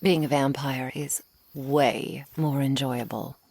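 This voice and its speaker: Low, creaky, taunting vampire woman, voiced by an actress. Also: fairly even intonation, a little mellow, no room sound, full character voice. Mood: sly, teasing. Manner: sardonic, taunting, unhurried, breaking into whispers. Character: teasing, menacing female vampire, a century old. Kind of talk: intimate ASMR roleplay acting.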